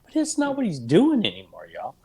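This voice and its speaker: A man co-hosting a casual podcast chat, animated and quick to laugh. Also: high-pitched. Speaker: podcast co-host.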